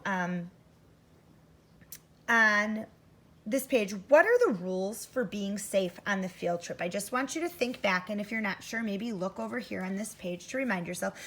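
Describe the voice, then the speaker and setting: American female primary teacher, interactive read-aloud, instructive and direct with young listeners, highly expressive intonation. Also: gravelly. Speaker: first grade teacher reading aloud to pupils. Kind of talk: interactive children's story read-aloud with comprehension questions.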